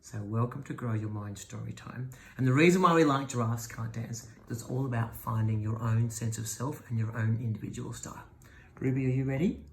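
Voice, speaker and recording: A woman with a low-pitched, gravelly voice reads a children's picture book. The delivery is expressive and animated, sometimes sinking toward a whisper, with gentle asides for a young listener. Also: a little fast, a little hiss or hum, poor audio. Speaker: storybook reader. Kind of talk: expressive children's story reading.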